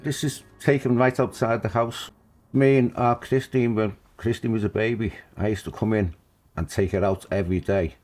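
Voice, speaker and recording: Low-voiced man in his sixties reminiscing. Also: steady tone, moderate pace, darkish tone, faint music behind. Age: 60s. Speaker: interviewee. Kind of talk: documentary interview.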